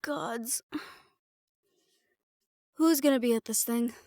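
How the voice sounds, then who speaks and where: Woman doing sassy bossy siren girl, fantasy roleplay audio, highish voice, sarcastic, really dry close sound. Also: slow, a little mellow, no room sound. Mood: exasperated. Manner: sarcastic, emphatic. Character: sardonic young female siren, bossy, easily exasperated. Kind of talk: dramatic audio roleplay acting.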